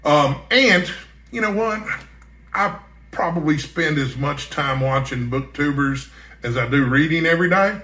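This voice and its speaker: An American man with a somewhat high, bright voice talks casually to his viewers. His manner is folksy and rambling, as in a homemade book vlog. The room around him adds a clear echo. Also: unhurried. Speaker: book vlogger. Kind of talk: casual chat to camera. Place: indoor room at home. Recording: echoey, roomy sound.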